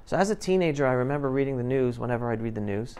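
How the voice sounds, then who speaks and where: Male guest speaker, around 50, smooth clear voice, informal classroom talk, emphatic and interactive. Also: medium pitch, animated, very fast, a little mellow. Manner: emphatic, questioning the audience. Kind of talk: informal talk to high school students. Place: classroom, indoors. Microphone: lapel mic.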